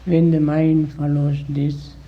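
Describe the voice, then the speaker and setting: Slow, gravelly man teaching meditation. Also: a little high, monotone, highs cut off. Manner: deliberate, instructive, with long pauses between short phrases. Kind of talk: guided meditation instruction.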